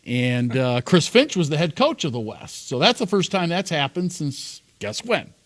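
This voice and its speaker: A man's voice: on the high side, very animated, moderate pace. Man, sports talk host, casual chat, laughs often, gets worked up.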